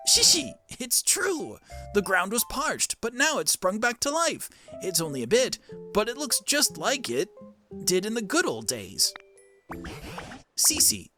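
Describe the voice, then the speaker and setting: Man voicing a quaint old professor creature, swooping pitch. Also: huge pitch swings, a little slow, sharp tone, full character voice. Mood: delighted. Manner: quaint, theatrical, musing. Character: elderly scholarly tangle-of-vines professor creature, curious, kindly. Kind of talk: cartoon game character dub. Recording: music behind the voice.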